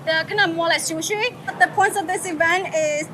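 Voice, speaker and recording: A woman from Thailand talks casually and upbeat in an interview clip. Her voice is very high and bright. A faint hiss sits behind her. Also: moderate pace. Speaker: food event organizer.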